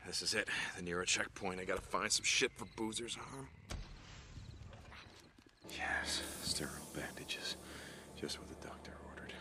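An actor playing a gruff, short-tempered but loyal drifter biker in a video game, gravelly and sardonic, often muttering profanely. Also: male voice, sits low, expressive, normal speed, pauses often, in a whisper. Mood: muttering, irritated. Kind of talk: cinematic video game acting. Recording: noisy game audio, ambience behind.

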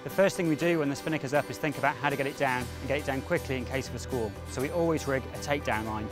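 A man's voice: mid-range voice, very fast. A young man giving instructional explanations to camera as a sailing skipper, outdoors on a yacht. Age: young adult. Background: music.

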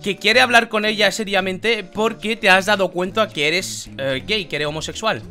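A man's voice: sits high, normal speed, bright, forceful tone. A man in his twenties with a Spain Spanish accent, bantering casually over a video game in a mocking, needling way. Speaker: gaming YouTuber, host. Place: indoor gaming room. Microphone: close studio condenser microphone, with headphones. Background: faint game music.

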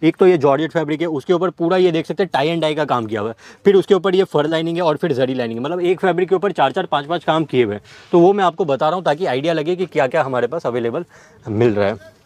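A young man showing fabric stock on a warehouse floor, reeling off items in rapid salesman patter. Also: on the high side, a little mellow, no echo. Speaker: fabric seller. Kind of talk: product showcase walkthrough.

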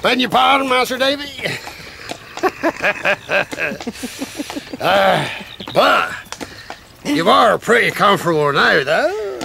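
An actor playing a bashful, chuckling country carter who halts and repeats himself, in a radio drama. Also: male voice, high voice, very animated, extremely slow, creaky, long pauses, noisy background, exaggerated voice. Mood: bashful, jolly.

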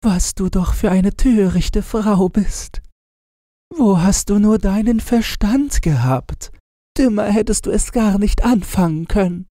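Man putting on a high-voiced fairy-tale queen. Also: measured pace, mellow, no room sound, clean studio sound. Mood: regretful. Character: proud, snippy but tender fairy-tale queen, adult woman. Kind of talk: storybook character voice.

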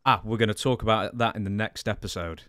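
Casting: male interviewer, thirties, somewhat low voice, conversational podcast delivery, very dry studio sound. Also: steady tone, quick pace, fairly bright tone, clear, resonant tone, dry recording. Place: home studio room, indoors. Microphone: close broadcast microphone on boom arm.

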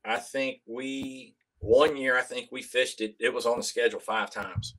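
A man's voice: mid-range voice, steady tone, normal speed, a bit bright, rough recording. A man in his fifties talking casually as a podcast guest on a video call from a room indoors. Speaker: podcast guest, tournament angler. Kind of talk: casual chat.

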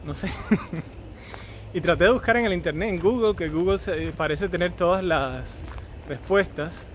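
A Cuban man in his thirties walks a neighborhood street and talks to his phone on a selfie stick, chatty and quick with joking asides. His voice sits a little high for a man. Traffic and outdoor sounds run clearly behind him. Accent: Cuban Spanish. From Cuba. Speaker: vlogger. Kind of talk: casual walking vlog. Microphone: phone on a selfie stick at arm's length. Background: street traffic and outdoor ambience.

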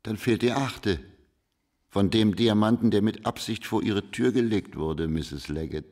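Knapper Privatdetektiv mit sehr tiefer Stimme. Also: male voice, fairly low pitch, a little slow, darkish tone. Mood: businesslike. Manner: clipped questioning. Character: terse, probing male private detective. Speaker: actor in a radio crime drama. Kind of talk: German radio play acting.

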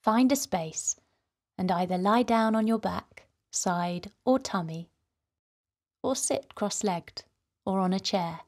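A woman hosting a guided meditation for children, speaking in a gentle, soothing, softly breathy way. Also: medium pitch, slow pace. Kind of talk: guided relaxation narration for children.

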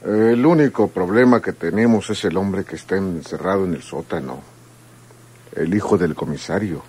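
A man playing a smug, fawning henchman with a husky voice, reporting deferentially in a radio drama. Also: low voice, normal speed, darkish tone.

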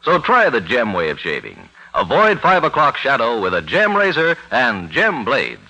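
A male announcer in 1940s radio style, delivering scripted pitches and narration with emphatic, polished salesmanship. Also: huge pitch swings, moderate pace, pushed and bright, low-quality recording.

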